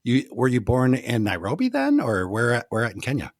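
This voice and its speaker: A man's voice: medium pitch, wildly swooping pitch, a little fast, slight background noise. A man hosting a travel podcast, candid and playful in a casual interview, often acting out lines he quotes. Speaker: podcast host.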